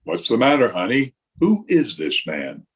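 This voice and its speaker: A man's voice: medium pitch, wildly swooping pitch, a little slow, husky, full character voice. An actor playing a woman's suspicious male companion in an old-time radio drama. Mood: wary.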